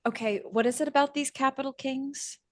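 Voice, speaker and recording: A woman's voice: high voice, fairly even intonation, a little fast, dry recording, studio quality. A woman playing a tabletop roleplaying game, improvising casual in-character talk in a hesitant, tentative way.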